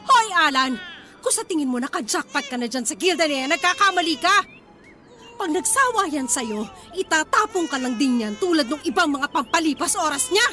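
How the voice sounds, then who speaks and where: High-voiced, fiery wronged wife. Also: female voice, lively intonation, a little fast, pushed and bright, faint music behind. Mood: furious, anguished. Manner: taunting, accusatory. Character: wronged young wife and mother, fiery, sarcastic, confrontational. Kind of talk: radio drama acting.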